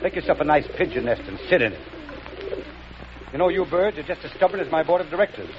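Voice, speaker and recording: An actor playing a dry, irritable, weary millionaire in a 1940s radio comedy. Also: male voice, fairly high pitch, expressive, fast talker, natural voice. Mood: irritated, sardonic. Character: grumpy tired millionaire shooing pigeons in the park. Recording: dull, noisy old radio recording.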